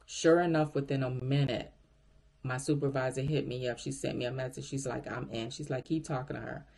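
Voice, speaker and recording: A man's voice: medium pitch, steady tone, fairly quick, vocal fry, darkish tone. Male vlogger, conversational storyteller, mid-range voice, talks directly to the audience and voices both sides of conversations. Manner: conversational, addresses viewers directly, acts out quoted dialogue. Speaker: vlogger recounting a work story. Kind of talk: casual storytelling to camera.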